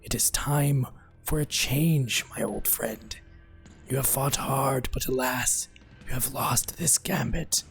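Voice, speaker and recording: A man voicing an enigmatic hooded leper, a slow, formal cosmic gambler in a dark fantasy lore reading. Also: medium pitch, vocal fry, voice pushed up. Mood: smug, solemn. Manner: slow, deliberate, grandly formal. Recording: background music under the voice.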